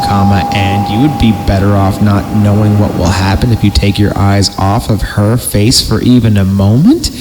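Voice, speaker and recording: A man's voice: on the low side, rough recording. A man hosting a podcast, reading horror stories in a theatrical way and breaking off for jokey asides. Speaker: podcast host. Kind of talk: dramatic horror story reading with joking asides.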